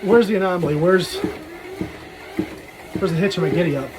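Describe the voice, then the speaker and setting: A man's voice: high-pitched, normal speed, noisy background. A man casually rambling through a personal vlog, dropping self-deprecating, jokey asides. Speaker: vlogger. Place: talking while out walking.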